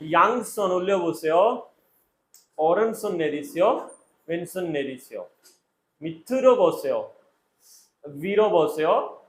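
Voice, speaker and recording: A man's voice: sits high, animated, takes it slowly, pauses often. A man acting as a Korean exam interviewer, giving short instructional commands and set questions.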